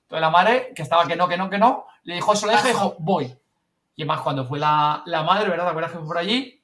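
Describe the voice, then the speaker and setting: A man with a Castilian Spanish accent rattles through a casual story in a bright, slightly high voice. He talks very quickly and colloquially, with the animated manner of a podcast host swapping anecdotes with colleagues. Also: far from the mic. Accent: Spanish (Spain).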